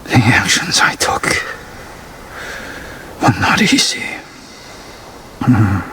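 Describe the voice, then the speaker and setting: A man playing a proud, bitter older samurai father in a video game, stern and halting, with breath in the voice. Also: fairly low pitch, sing-song, bright, forceful tone, slight background noise, exaggerated voice. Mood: grave. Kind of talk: dramatic video game acting.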